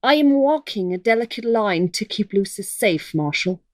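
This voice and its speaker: A woman's voice: mid-range voice, lively intonation, normal speed, no room sound, studio quality, close to an everyday voice. A woman playing a resolute, guarded young noblewoman warrior in an audio drama, speaking tersely and seriously. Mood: commanding.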